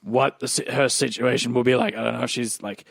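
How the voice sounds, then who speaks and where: A man with a gravelly, husky voice improvising in character in a tabletop game, halting and repeating words. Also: medium pitch, steady tone, no echo. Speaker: player in a tabletop roleplay game.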